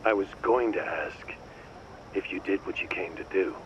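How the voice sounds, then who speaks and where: A man playing a controlling intelligence handler in a video game, stern and condescending with deliberate phrasing. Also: low voice, muffled recording, slight background noise, natural voice. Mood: cool, threatening. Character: controlling, coolly menacing male intelligence handler. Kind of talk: dramatic game cutscene acting.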